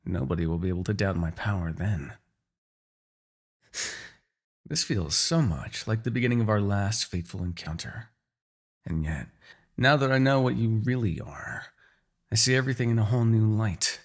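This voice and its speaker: A man acting a menacing, husky demon king. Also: on the low side, lively intonation, fairly quick. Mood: brooding, taunting. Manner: menacing, theatrical, sardonic. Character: proud, bitter, centuries-old male demon king. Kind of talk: fantasy ASMR audio roleplay acting.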